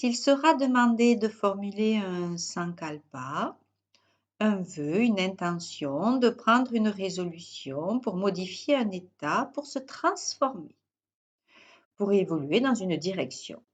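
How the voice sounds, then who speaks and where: A woman guiding a yoga nidra relaxation as a teacher, in short, measured instructional phrases with pauses. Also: medium pitch, expressive. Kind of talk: guided yoga nidra meditation.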